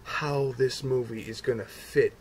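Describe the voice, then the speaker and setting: A man's voice: medium pitch, steady tone, measured pace, a little mellow, low-quality recording. Man chatting casually over background noise. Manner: repetitive, stumbling over words, often swearing. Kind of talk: casual fan chat about comic book films. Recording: noticeable background noise, amateur recording.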